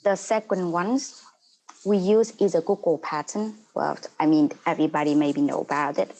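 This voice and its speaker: A woman in her thirties from Vietnam with a Vietnamese accent, a non-native English speaker, giving an earnest, halting webinar talk as a paralegal over a video call. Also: fairly low pitch, darkish tone, poor audio. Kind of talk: online webinar presentation.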